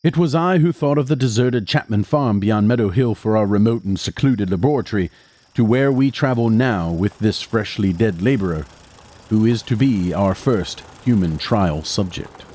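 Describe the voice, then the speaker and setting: An actor playing an educated young medical student and wary accomplice in an audio drama, speaking in a formal, measured way. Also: male voice, lowish voice, expressive, mellow. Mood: grave recounting. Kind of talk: audio drama acting and first-person narration.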